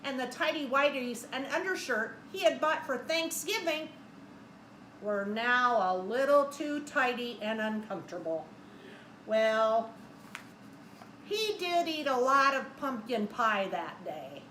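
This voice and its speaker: A woman teacher reading a storybook aloud expressively to young children, speaking deliberately and adding simple asides and questions. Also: fairly high pitch, big pitch swings, bright, forceful tone. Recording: echoey room with faint background noise.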